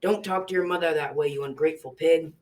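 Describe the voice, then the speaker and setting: A grumpy old-tale giant husband played by a young girl, gruff and quarrelsome, pitched on the low side. The voice sits back from the mic, like a school radio play. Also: fast, darkish tone, natural voice. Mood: angry. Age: child about 12 to 13. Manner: gruff, grumbling. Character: grumpy, quarrelsome father giant, married, male. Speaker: student actor in a school radio drama. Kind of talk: amateur radio drama acting. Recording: distant mic.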